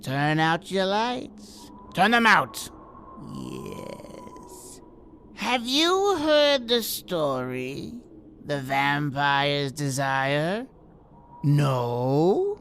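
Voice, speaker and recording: Cackling, menacing old crone. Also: female voice, deep voice, wildly swooping pitch, a bit bright, slight background noise, full character voice. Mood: gleefully spooky. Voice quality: witchy, cackling. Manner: drawn-out, menacing. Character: cackling old hermit storyteller and surly mad old crone. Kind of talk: old-time radio horror acting.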